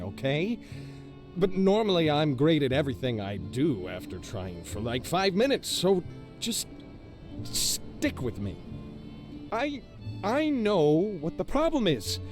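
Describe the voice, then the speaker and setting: A grandiose, mock-heroic protector from a video game, boastful on top and insecure underneath. The voice is fairly high and swings widely in pitch. Phrases are slow and stretched out for emphasis, with faint music behind. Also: male voice, sing-song. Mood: defensive. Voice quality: theatrical, exaggerated delivery. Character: pompous, boastful self-styled protector hero, insecure underneath.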